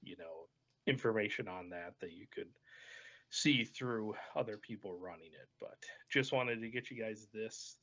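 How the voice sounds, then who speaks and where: Low male voice, casual card game host, easygoing and colloquial, muffled lo-fi recording. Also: unhurried, fairly bright tone. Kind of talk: casual deck discussion. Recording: dull, lo-fi voice track.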